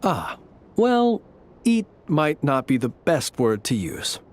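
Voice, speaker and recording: A man voicing a courteous, modest gentleman in a video game, speaking in a measured, polite way with wry understatement. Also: a little high, huge pitch swings, full character voice. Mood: gently reflective. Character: courteous, gentle male lantern bearer, modest and wryly humorous. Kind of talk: video game character dialogue, storytelling.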